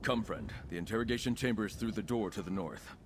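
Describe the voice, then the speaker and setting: An actor playing an earnest freed prisoner and heroic ally in a video game, speaking in a measured, theatrical way over game ambience. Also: male voice, on the low side, noisy background, natural voice. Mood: earnest, resolute.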